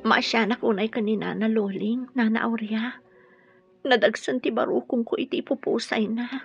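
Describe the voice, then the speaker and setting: A woman acting a gentle, grieving and consoling character in a radio drama, with an Ilocano accent. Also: a little high, fairly even intonation, moderate pace, vocal fry, breathy edge, faint music behind, heavily acted. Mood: sad, consoling. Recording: dry, dull lo-fi audio.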